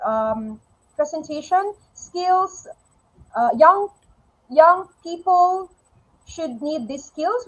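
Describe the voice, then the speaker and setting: A woman's voice: sits high, rough recording. Woman moderating an online webinar. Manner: checking in and summarizing, with fillers.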